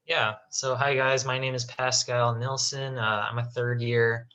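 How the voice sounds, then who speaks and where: Flat, bright-voiced young man, casual. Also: medium pitch, a little slow, dull audio. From Las Vegas. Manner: casual, lots of "like" and "um". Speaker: medical student. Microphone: video call.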